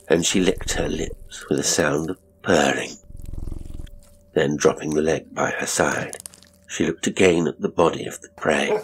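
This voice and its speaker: A man narrating a classic horror story as a dramatic audiobook, grave, theatrical and suspenseful, with deliberate phrasing. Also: rumbling low, a bit bright, a little hiss or hum, poor audio.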